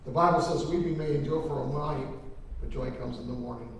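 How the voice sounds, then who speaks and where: A man's voice: medium pitch, a little fast, a little hiss or hum. Middle-aged male pastor, earnest folksy funeral preacher, roomy church sound from far off. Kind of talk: funeral sermon. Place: large church sanctuary. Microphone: lectern microphone from a far camera.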